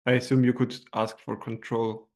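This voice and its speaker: A man from Switzerland whose first language is German chats as a guest on a video call. He jokes and laughs often in a relaxed, conversational way. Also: medium pitch, moderate pace. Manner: chatty and joking, laughs a lot. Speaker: student and podcast guest. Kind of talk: casual chat on a video call. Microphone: video call microphone.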